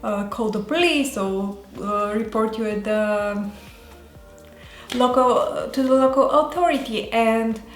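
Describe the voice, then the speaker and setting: A woman with a Bulgarian accent talking casually as a vlogger, in a halting way that often pauses mid-sentence. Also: a little high. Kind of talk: casual talk to camera. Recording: roomy echo, faint music behind.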